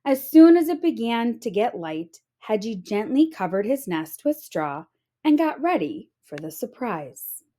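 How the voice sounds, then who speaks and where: A woman narrates a children's picture book as a storyteller. Her voice is on the high side and very animated in pitch, and she reads at a slow, measured pace. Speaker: storyteller reading aloud.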